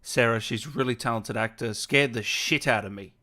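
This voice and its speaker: A man's voice: medium pitch, brisk, sharp tone. A man with an Australian accent, chatting in an easygoing, reassuring way as an interview host. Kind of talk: casual friendly interview chat.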